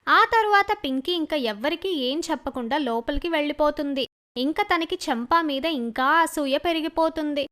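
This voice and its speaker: A woman narrates a scripted moral story in a high-pitched voice. She works as the storyteller, reading out events from a written script. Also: normal speed, pushed and bright.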